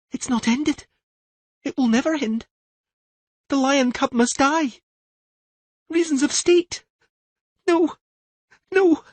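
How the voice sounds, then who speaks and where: A man's voice: high voice, slightly rough, no room sound, pitched above the performer's own voice, full character voice. A man doing a frightened girl in a trance. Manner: shaky, pleading, rising into panic.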